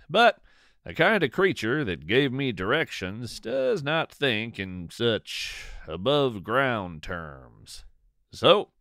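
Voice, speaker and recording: A man hosting a podcast with scripted first-person storytelling, in a measured, theatrical, whimsically formal manner. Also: medium pitch, fairly bright tone, no room sound, studio quality. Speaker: podcast host.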